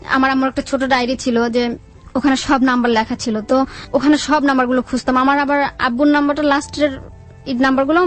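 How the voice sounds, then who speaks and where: A woman with a Bangladeshi accent tells a personal story in a radio interview, haltingly, repeating words and quoting what people said. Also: high voice. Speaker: guest telling own life story. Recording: music under the voice. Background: background music.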